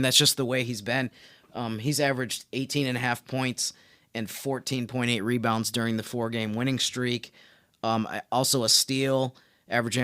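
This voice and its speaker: A man with a smooth, clear, mid-pitched voice co-hosts a sports talk podcast. He explains his analysis conversationally, building each point step by step, in a dry, close-sounding recording. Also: fairly bright tone, no echo.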